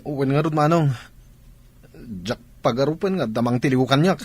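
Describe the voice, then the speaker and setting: An actor playing a brash, scornful younger brother out for easy money in an audio drama, with an Ilocano accent and a boastful, scoffing manner. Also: male voice, mid-range voice, moderate pace, darkish tone, no echo, close to an everyday voice. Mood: sheepish, defensive. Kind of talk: dramatized radio-style acting.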